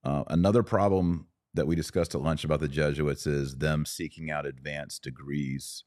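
A man's voice: extremely low pitch, steady tone, moderate pace, a little mellow, no echo, studio quality. A man hosting a podcast, talking and asking questions in a casual conversational style. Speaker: podcast host.

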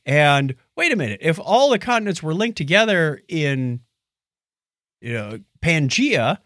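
A fast-talking man co-hosting a casual science chat, animated and given to acting out little quips. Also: a little high, no echo, clean studio sound. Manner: animated, acts out quips in mock voices. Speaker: podcast co-host.

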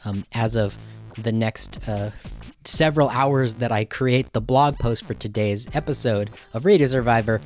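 A man hosting a podcast, speaking casually and unscripted to listeners in a halting, conversational way with rambling asides. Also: mid-range voice, soft background music. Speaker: radio podcast host.

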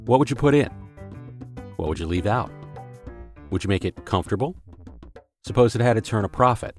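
Lively-voiced male podcast narrator. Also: medium pitch. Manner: measured storytelling with rhetorical questions. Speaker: podcast host. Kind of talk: scripted narration.

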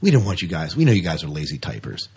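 Husky, low-voiced man chatting as co-host. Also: huge pitch swings, fast talker. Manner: chatty, jokey. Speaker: podcast co-host. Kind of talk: casual banter on a sci-fi fan podcast.

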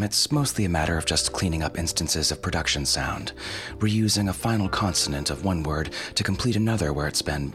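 A man hosting and explaining in a scripted but informal style, with wry asides and deliberately stretched phrases. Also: low-pitched, fairly bright tone. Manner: wry, informal, mildly profane. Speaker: podcast host and audio fiction producer. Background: faint music.

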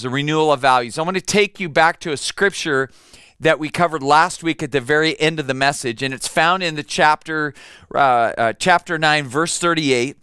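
A man's voice: a little high, sharp tone, clean tone, no room sound, studio quality. A man, a pastor, preaching a sermon in a conversational way, telling a family story with fillers and repeated words.

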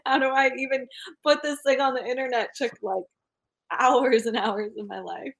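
A woman's voice: high voice, steady tone, normal speed, creaky. A woman guest in a casual podcast interview, talking with frequent laughs and a self-deprecating manner.

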